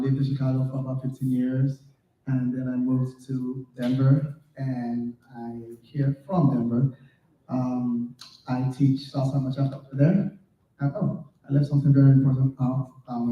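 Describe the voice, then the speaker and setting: A man from Nigeria who teaches dance, introducing himself politely and in a measured way on a panel. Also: mid-range voice, flat delivery. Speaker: salsa and bachata teacher. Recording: dull, echoey, distant room sound.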